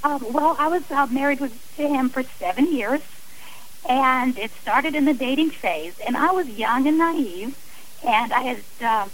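A woman's voice: high voice, animated, measured pace, a little hiss or hum, poor audio. A woman speaking as an interview guest on radio, earnest and emphatic, explaining with examples and repeated phrasing. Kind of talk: radio interview, personal and advisory talk.

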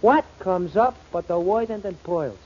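An actor playing a nervous, dim but loyal small-time crook in 1940s radio comedy style, stammering and talking ungrammatically, on an old radio recording. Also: male voice, high voice, lively intonation, a little slow, darkish tone, slightly rough, dull audio, full character voice. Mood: anxious. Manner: stammering, streetwise ungrammatical talk. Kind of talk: 1940s radio comic acting.

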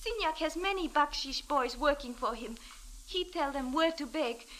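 A woman's voice: sits high, moderate pace, fairly bright tone. An actress playing an anxious, pleading young woman in a 1940s radio drama, speaking formal broken English. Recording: old radio drama recording.

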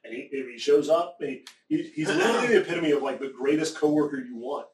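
Man from the American South, casual rambling talk, profane and animated, roomy echo, heard from a distance. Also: a little high, quick pace. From American South, Appalachia. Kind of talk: casual conversation. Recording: echoey room, distant mic.

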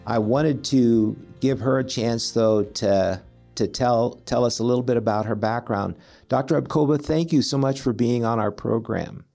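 A man's voice: mid-range voice, moderate pace, vocal fry, dark tone, soft background music. A man hosting a health interview as a physician, talking conversationally and often repeating words as he rephrases. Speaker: host, physician.

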